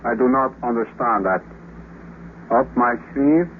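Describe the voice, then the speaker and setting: A man's voice: mid-range voice, unhurried, close to an everyday voice. Muffled noisy 1950s radio drama, man playing a proud spaceman, formal, stiff, despairing. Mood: suspicious. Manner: stiff, formal speech without contractions. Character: proud, despairing Russian cosmonaut stranded on the moon.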